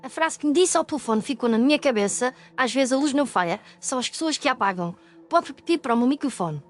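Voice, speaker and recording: A woman's voice: high-pitched, lively intonation, quick pace, soft background music. A woman playing a determined, curious young ghost-story podcaster, earnest and articulate, with a European Portuguese accent. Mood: earnest, polite. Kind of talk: audio ghost story acting.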